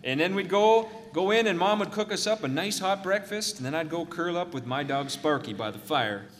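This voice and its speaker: An actor playing a blustering, patriotic American balloon pilot with a put-on Minnesota accent and a folksy manner. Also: male voice, high voice, lively intonation, normal speed, big-room sound, a little hiss or hum. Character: gung-ho male American balloon pilot major from the 1950s.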